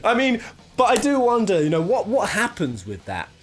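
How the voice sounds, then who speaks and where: Animated British man, chatty countdown host. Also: sits high, moderate pace. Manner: jokey, rambling, thinking aloud. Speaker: video game countdown host. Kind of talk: casual, chatty top-ten commentary.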